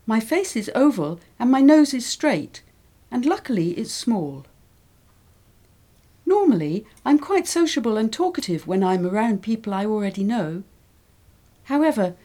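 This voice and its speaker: A woman reading model texts aloud for English learners, with a clear and careful delivery. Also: fairly high pitch, dark tone.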